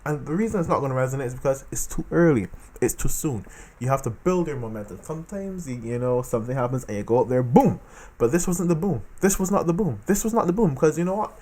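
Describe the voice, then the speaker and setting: A man from the Caribbean talking casually about politics in an animated monologue, repeating phrases for emphasis. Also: mid-range voice, quick pace, hardly any pauses. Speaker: political commentator.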